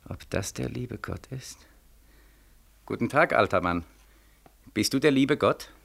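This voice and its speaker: An actor in a 1940s radio play as a bitter, desperate young soldier, mocking and questioning insistently. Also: male voice, medium pitch, lively intonation, measured pace, gravelly, a bit bright, exaggerated voice. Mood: dreamy, defiant.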